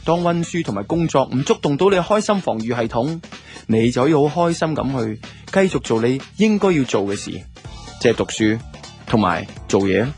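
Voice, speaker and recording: A man's voice: mid-range voice, big pitch swings, a little fast, darkish tone. A man with a Hong Kong Cantonese accent playing a laid-back young man who narrates his love life in a reflective, colloquial way for a radio drama. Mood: musing. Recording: music under the voice.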